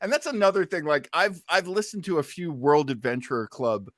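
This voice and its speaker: A man casually hosting a live online listening party, with a jokey, animated manner. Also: fairly high pitch, brisk, dry recording, clean studio sound.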